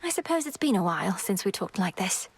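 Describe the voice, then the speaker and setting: A woman's voice: medium pitch, big pitch swings, fast, husky, exaggerated voice. A woman voicing an earnest young witch princess who speaks formally in a studio game. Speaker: game character.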